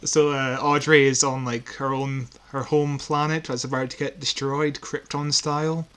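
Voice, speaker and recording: A man's voice: mid-range voice, flat delivery, moderate pace, a bit bright, clear, resonant tone. A man co-hosting a podcast, chatting casually and recapping plots informally with a dry, teasing manner. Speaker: podcast co-host.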